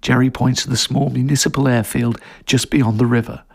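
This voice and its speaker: A man's voice: mid-range voice, little pitch movement, brisk. A man reading a scripted audio story as narrator.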